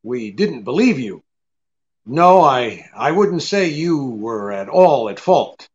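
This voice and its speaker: An actor playing a shrewd, cool private detective in an old-time radio drama, wry and blunt, hesitating with the odd uh. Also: male voice, medium pitch, very animated, takes it slowly. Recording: dull, lo-fi audio.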